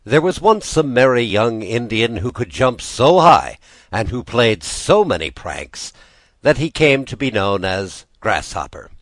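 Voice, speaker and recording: A man's voice: medium pitch, big pitch swings, measured pace, a bit bright, dry recording. An American man reading a children's folk tale as a storybook narrator in an audiobook style. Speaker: volunteer audiobook reader.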